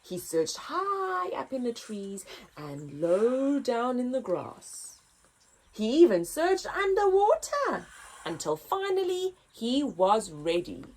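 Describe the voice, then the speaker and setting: Woman, story time host, expressive read-aloud, high-ish pitch, hugely varied intonation. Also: slow. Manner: expressive, dramatic emphasis.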